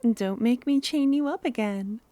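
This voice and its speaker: A woman playing a loving, obsessive cultist kidnapper in a soft-spoken ASMR roleplay, sweetly menacing, breathy and often whispering. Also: fairly high pitch, no echo, close to an everyday voice. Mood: coaxing, chiding. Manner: slow, tender, intimate coaxing. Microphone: close ASMR microphone.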